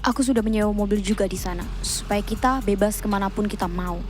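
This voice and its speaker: A woman's voice: mid-range voice, fast, darkish tone, soft background music, lightly acted. A woman voicing an eager, curious young spy in a children's puppet play.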